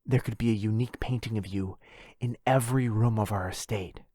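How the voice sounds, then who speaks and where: A man's voice: on the low side, steady tone, a little fast, dark tone, breathy edge, no room sound, clean studio sound. A man playing a lovestruck, possessive, centuries-old vampire brother in a boyfriend roleplay, tender and pleading. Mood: adoring.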